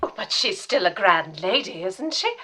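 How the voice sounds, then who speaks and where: A woman's voice: mid-range voice, very animated, moderate pace, noisy background, natural voice. A woman playing an eager, chatty, deferential assistant to a lady detective in a period radio drama. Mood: curious, gossipy. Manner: inquisitive, deferential, gossipy.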